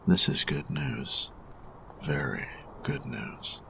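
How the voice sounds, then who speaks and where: A man playing a grumpy, workaholic artificer in an audio roleplay, tired and reserved, speaking formally and precisely with hesitant pauses in a gravelly voice. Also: big pitch swings, noisy background, full character voice. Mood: quietly pleased. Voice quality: gravelly, very low voice. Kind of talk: audio roleplay addressed to the listener.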